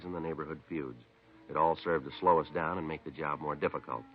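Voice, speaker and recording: An American actor playing a stoic, polite police detective in a 1950s radio drama, speaking in a terse, matter-of-fact way. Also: male voice, a bass voice. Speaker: narrator and lead actor. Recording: dull 1950s radio broadcast recording.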